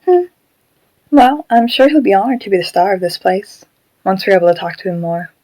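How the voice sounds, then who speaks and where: A woman's voice: medium pitch, animated, fairly quick, dark tone, dry recording, natural voice, poor audio. A woman playing a shy, earnest, childlike ghost living in a puppet, in an amateur audio drama. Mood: pleased, earnest.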